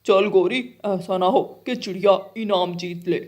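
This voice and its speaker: Woman as a cartoon male crow, voice dropped lower, selfish, impatient, dismissive. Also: unhurried, darkish tone, highs cut off, lightly acted.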